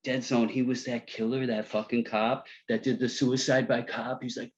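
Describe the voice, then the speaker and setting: A man's voice: medium pitch, little pitch movement, a little slow, lo-fi sound, lightly acted. An actor at a table read playing a breezy, chummy male movie director, slangy and eager to seem cool. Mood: showing off, excited.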